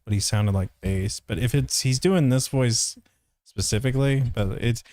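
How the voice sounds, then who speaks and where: A man's voice: mid-range voice, moderate pace, darkish tone. A man giving casual, hesitant stream commentary, thinking aloud as he reviews a dub. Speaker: voice actor reviewing a fandub.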